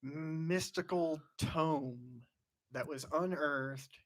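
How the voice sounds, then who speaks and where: A man's voice: on the high side, extremely slow, fairly bright tone. Playful joking man in banter. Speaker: podcast co-host. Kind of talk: casual livestream chat.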